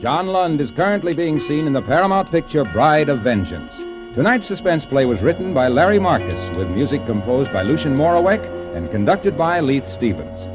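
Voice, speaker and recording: A man's voice: a little high, big pitch swings, normal speed, few pauses, over music. A man announcing a 1940s radio drama and reading its sponsor ads in a polished, emphatic, salesy way.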